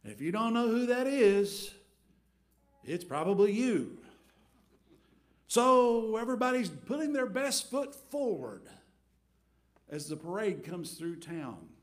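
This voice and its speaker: A middle-aged man preaching a storytelling sermon from a church pulpit, swinging between big acted-out crowd voices and quiet asides. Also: sits high, big pitch swings, slow pace, long pauses. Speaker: pastor. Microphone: headset mic.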